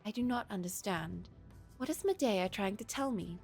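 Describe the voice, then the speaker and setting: A woman's voice: mid-range voice, lively intonation, moderate pace, darkish tone, faint music behind, lightly acted. Woman playing a proud young princess. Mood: puzzled. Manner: formal, declarative phrasing. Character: proud, stubborn young princess, formal and self-assured.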